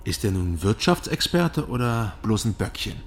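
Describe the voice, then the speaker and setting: An actor playing a dry, guarded investigator in a radio crime drama, speaking tersely and understated. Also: male voice, on the low side, big pitch swings, normal speed. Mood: coaxing.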